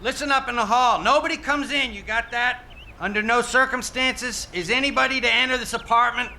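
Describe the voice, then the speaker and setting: Forceful-toned, placating male negotiator. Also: very high voice, steady tone, brisk, slight background noise, full character voice. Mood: commanding. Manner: folksy, placating, hesitant. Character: streetwise, patient male negotiator coaxing someone off a ledge. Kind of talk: naturalistic audio drama acting.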